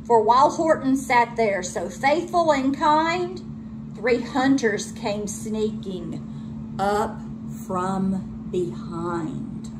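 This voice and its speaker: A woman reads a children's story like a schoolteacher reading to a class. Her high voice moves slowly and swoops expressively through the narration. There is clear noise in the recording. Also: expressive. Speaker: elementary school teacher reading aloud.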